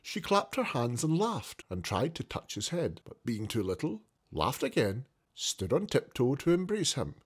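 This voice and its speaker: A man reading a classic story as an audiobook narrator, theatrical and expressive in his scripted delivery. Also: mid-range voice, a little slow, hardly any pauses, breathy edge.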